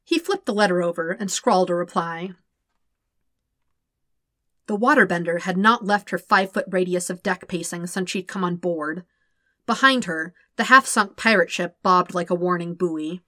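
A woman reading a story aloud as an audiobook narrator, with expressive scripted delivery. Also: lowish voice, normal speed, no echo, studio quality. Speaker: podfic narrator.

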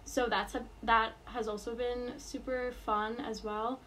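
A woman's voice: a little high, flat delivery, measured pace, fairly bright tone, slight background noise. A young woman in college from New York, answering interview questions casually with lots of fillers and restarts. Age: college student. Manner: hesitant, many fillers and restarts.

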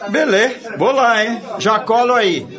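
A man playing a cocky, slangy, excitable teenage boy in a radio soap. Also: extremely high pitch, measured pace. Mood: cocky, excited. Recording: muffled lo-fi, crowd behind.

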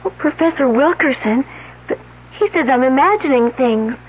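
High-pitched frightened young woman. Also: animated, a little hiss or hum. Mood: anxious. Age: young adult. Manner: halting, anxious. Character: frightened, secretive young female student. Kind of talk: 1970s radio drama acting. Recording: tinny, band-limited old radio recording.

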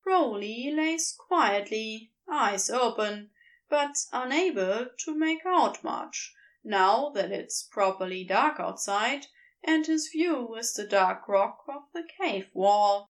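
A woman narrating a fan fiction story aloud with unhurried, expressive delivery. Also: a little high. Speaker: podfic reader.

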